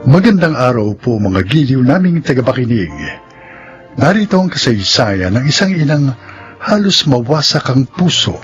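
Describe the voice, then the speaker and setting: A man's voice: medium pitch, very animated, normal speed, lo-fi sound, faint music behind. A Filipino man announcing and narrating a scripted radio drama introduction.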